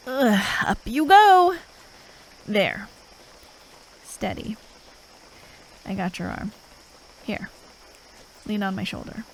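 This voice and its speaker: A woman playing a gruff, impatient but grudgingly caring fantasy assassin in an ASMR roleplay, sarcastic and sweary as she talks to the listener. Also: on the high side, slight background noise, poor audio. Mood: gruffly supportive. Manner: sarcastic, drawling, sweary, with sighs.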